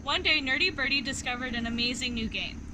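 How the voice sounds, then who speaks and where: High, bright-voiced woman reading a storybook. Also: brisk, a little hiss or hum, rough recording. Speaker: read-aloud storyteller. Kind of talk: expressive children's picture book narration.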